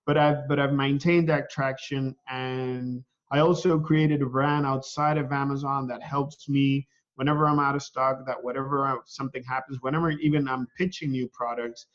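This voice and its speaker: A man from Venezuela, a guest on a casual call interview, talking in a halting way and repeating words as he thinks. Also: mid-range voice, steady tone, lo-fi sound. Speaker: guest, online seller.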